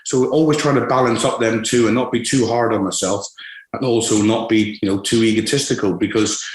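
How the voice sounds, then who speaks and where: A man's voice: lowish voice, fairly even intonation, a little fast, roomy sound, poor audio. Scottish-born man with a West Country accent. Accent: West Country English. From Scotland. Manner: frank, reflective, many restarts and fillers. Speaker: football manager guest. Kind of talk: candid interview.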